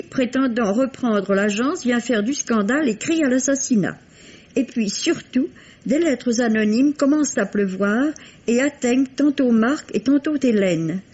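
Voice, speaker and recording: Female radio reviewer, slightly high husky voice, formal literary narration, dull vintage broadcast sound. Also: a little mellow. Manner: formal, literary, measured storytelling. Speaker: book reviewer on a radio program. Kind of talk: scripted narration, 1960s French radio book review.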